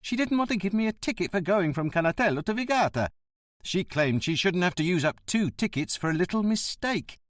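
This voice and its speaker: A man's voice: high voice, animated, fairly quick, no echo, clean studio sound, voice pushed up. A man voicing a surly, reed-thin bus driver of about fifty who talks bluntly and sounds aggrieved. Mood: indignant. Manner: blunt, aggrieved, sticks to the rules.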